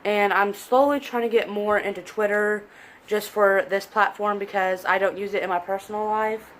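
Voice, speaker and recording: A woman's voice: mid-range voice, fairly even intonation, moderate pace. Young congested woman, rambling and self-deprecating, talking to a home camera over faint background hiss. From southern Indiana, US. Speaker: pregnancy vlogger. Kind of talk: casual vlog chat. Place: living room at home, indoors. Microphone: camera in front at desk distance.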